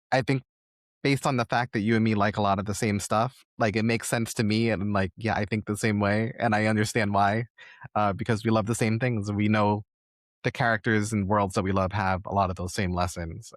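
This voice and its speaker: Low-pitched man hosting a casual podcast. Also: dry recording, studio quality. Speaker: podcast host. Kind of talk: casual conversational interview.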